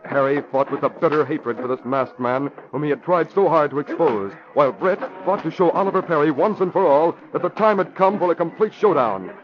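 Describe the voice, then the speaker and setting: An American male narrator in 1940s radio style. He is formal and emphatic, with a fairly high, highly inflected voice. The recording is dull and vintage. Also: expressive, brisk, few pauses. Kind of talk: 1940s radio announcing, scripted dramatic narration.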